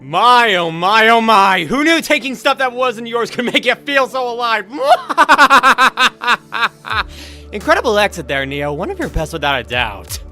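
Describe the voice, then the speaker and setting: Man as a flamboyant, cocky thief, theatrically animated. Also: extremely high pitch, measured pace, faint music behind, exaggerated voice. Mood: gleeful. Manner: theatrical, roguish, grandiose. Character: flamboyant, cocky young male thief and rogue. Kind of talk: fan audio drama acting.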